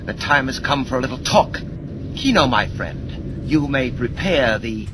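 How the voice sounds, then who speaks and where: A man acts out a scheming gangland boss from a 1940s radio serial. He is suave and menacing at a middling pitch, with urgent commands. The old recording sits under heavy noise and vehicle rumble. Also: animated, a little slow, lightly acted. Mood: smooth menace.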